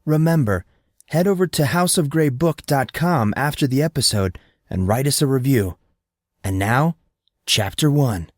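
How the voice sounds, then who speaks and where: A man reading an audiobook in a steady, measured storytelling manner. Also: medium pitch, no echo. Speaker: audiobook narrator. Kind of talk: scripted audiobook narration.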